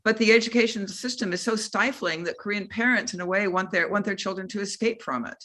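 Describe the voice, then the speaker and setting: A woman's voice: mid-range voice, quick pace, pushed and bright. A woman moderating a panel discussion who talks in a discursive, editorializing way, with many restarts as she builds her questions.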